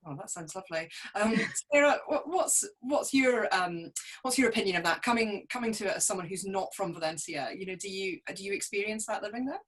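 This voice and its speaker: Husky-voiced woman moderating a panel. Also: mid-range voice, lively intonation, brisk, a bit bright. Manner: friendly, laughs often. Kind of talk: hosting an online panel.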